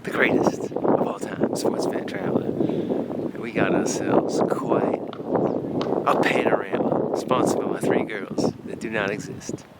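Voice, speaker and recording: A man's voice: fairly low pitch, steady tone, measured pace. A man in his fifties vlogging a jokey travel video outdoors, half whispering because he is losing his voice, goofy and self-mocking. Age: 50s. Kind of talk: jokey travel vlog to camera. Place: outdoors on a windy island coast. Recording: heavy wind noise on the mic.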